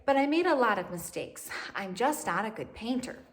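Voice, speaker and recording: Lady doing a sad little boy who feels he's no good, plaintive, kinda high. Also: animated, normal speed, natural voice. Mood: discouraged. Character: discouraged little boy learning to paint.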